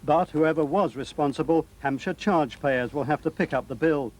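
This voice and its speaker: A man's voice: a little high, moderate pace, a little mellow. A man with a British accent narrating a scripted television news report as the reporter.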